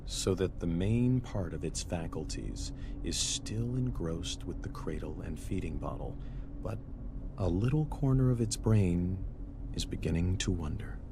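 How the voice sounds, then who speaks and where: A man narrating philosophical passages for a game in a measured, contemplative, solemn way. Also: deep voice, animated, background noise. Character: contemplative male reader of philosophical quotations. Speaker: narrator of game audio logs. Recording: music behind the voice. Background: music.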